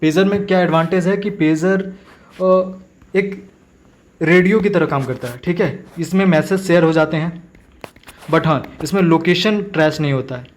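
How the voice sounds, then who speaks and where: Male exam tutor, slightly high voice, informal step-by-step explaining peppered with English terms and check-in tags. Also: moderate pace. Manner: frequent check-in tag phrases, mixes in English terms. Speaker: exam-prep teacher. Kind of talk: informal explanatory current-affairs lecture.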